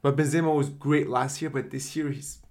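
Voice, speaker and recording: A man's voice: mid-range voice, steady tone, brisk, a little mellow. Give me a man in casual football podcast banter, chatty and full of restarts. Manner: chatty, many fillers and restarts. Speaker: podcast co-host.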